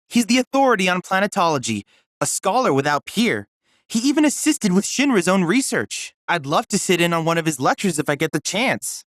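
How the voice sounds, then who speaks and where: A boyish android research assistant from a video game, polite and endlessly curious. His phrasing is formal and precise, with pitch that moves in lively swings. The recording is close and dry. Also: no room sound. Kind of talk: scripted video game character dialogue.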